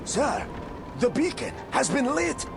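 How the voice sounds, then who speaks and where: Very high-pitched, excitable dwarf underling. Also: male voice, slow, vocal fry, heavily acted. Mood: alarmed. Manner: excited, theatrical. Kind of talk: video game cutscene acting. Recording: loud music behind. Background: loud music.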